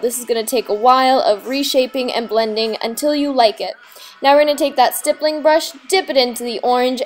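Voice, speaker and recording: Youngish girl doing a casual makeup tutorial, kinda high voice, slangy n breezy, bit sick and losing her voice. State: slightly sick, voice giving out. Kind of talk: casual how-to tutorial narration.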